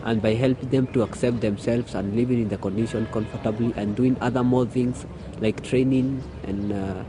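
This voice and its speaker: A man's voice: mid-range voice, fairly even intonation, moderate pace. A man, a youth coordinator who rambles and searches for words in a documentary interview. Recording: outdoor street noise with passing traffic.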